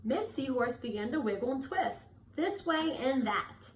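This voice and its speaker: A woman reading a children's story aloud with expressive, drawn-out emphasis and short asides. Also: high voice. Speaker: read-aloud host for children. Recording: echoey room with faint noise.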